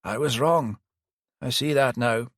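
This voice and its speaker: A man doing a soft-spoken, sorrowful old priest. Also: dry recording, studio quality. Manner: soft, slow and sighing, higher than the narrator. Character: elderly male priest, gentle and sorrowful.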